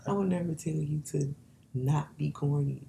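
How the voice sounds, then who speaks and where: A woman guest with a husky voice, playful and animated in sassy banter. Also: sits low, normal speed. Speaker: podcast guest. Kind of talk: casual chat.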